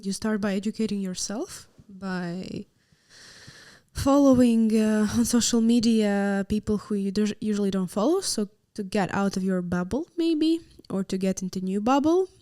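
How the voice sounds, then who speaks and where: A woman youth worker and sex educator on a live panel, giving practical, clear advice. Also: mid-range voice, moderate pace, mellow, no room sound, studio quality.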